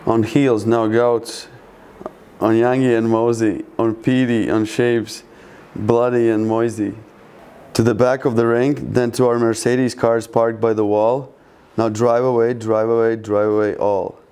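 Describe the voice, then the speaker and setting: A man's voice: mid-range voice, flat delivery, measured pace, warm tone, clean tone. A man reading scripted holiday verse, calling it out in short phrases. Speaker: second reader of a Christmas poem parody.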